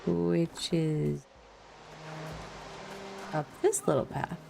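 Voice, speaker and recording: A woman's voice: low-pitched, little pitch movement, extremely slow, gravelly, background noise. A woman livestreaming a game with casual, playful, self-deprecating commentary. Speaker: game streamer and host. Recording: music playing behind the voice. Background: background music.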